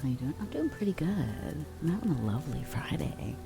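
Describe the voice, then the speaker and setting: A young adult woman streamer chatting softly with her viewers, drifting in and out of whispers, relaxed and affectionate. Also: low voice, a little fast, vocal fry, music in the background. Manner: relaxed, affectionate, reads and answers chat; drifts between soft talk and whispers. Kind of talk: soft-spoken ASMR chat with viewers.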